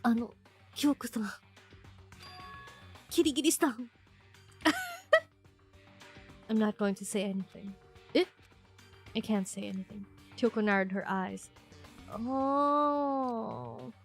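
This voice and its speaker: Congested female streamer, excitable and giggly, fairly high voice, faint music behind. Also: slow pace, pauses often. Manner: excitable, gasping and laughing often. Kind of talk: casual gameplay commentary.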